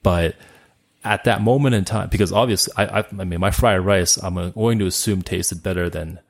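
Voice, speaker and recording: A man, his Cantonese. His voice sits very low and has a gravelly fry. He chats loosely as a guest, repeating words and padding with fillers. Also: moderate pace. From Canada. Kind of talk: casual interview chat.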